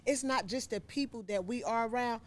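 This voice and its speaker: A woman's voice: on the high side, a little fast. A woman in her forties hosting a podcast, chatting casually with supportive, reflective remarks. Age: 40s. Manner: supportive, reflective, frequent affirmations. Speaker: podcast host. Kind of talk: casual conversation.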